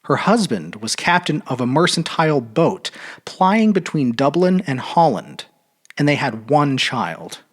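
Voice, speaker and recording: A man narrating a literary short story aloud in an expressive, measured, dramatic audiobook style. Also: fairly high pitch, breathy edge. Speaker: storyteller reading fiction aloud.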